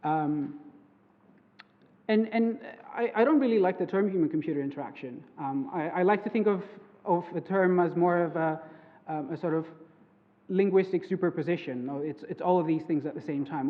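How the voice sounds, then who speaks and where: A man's voice: on the high side, normal speed. A young man whose first language is Greek gives an academic conference talk as a PhD student, hesitant, with many fillers and restarts. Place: lecture hall. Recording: phone-like, long hall reverb.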